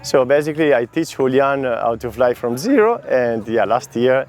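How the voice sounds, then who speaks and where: A man, a paragliding instructor, telling a story in halting English in a documentary interview. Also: mid-range voice, lively intonation, a little mellow.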